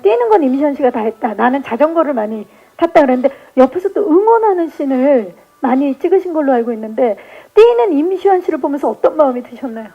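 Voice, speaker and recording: A woman's voice: high voice, very animated, quick pace, few pauses, muffled recording. A woman hosting a press conference in a playful, bantering way. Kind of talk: lively event hosting. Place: cinema press event, on microphone.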